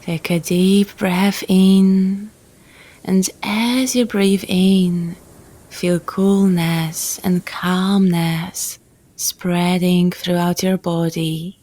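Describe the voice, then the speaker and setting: A woman guiding a relaxation meditation in a calm, gentle, soothing manner, leaving long pauses between phrases. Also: on the low side. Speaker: yoga nidra guide.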